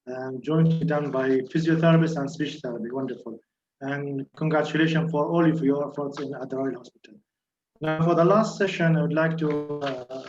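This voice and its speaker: Male webinar moderator, formal and courteous, higher pitch, muffled online sound. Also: a little mellow. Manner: polite, halting with fillers.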